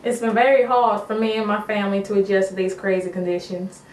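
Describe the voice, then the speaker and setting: A woman's voice: mid-range voice, little pitch movement, quick pace, a little mellow, lightly acted. A woman playing a weary, despairing farmer in an amateur mock news interview. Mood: weary, hopeless. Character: despairing Dust Bowl farmer Billy, weary and worn down. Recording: echoey room, distant mic, background noise.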